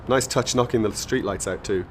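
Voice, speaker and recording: An actor in a radio drama playing a cold, ruthless male intelligence agent with clipped, deadpan delivery. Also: lowish voice, moderate pace, a little hiss or hum, close to an everyday voice. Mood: cold, flat.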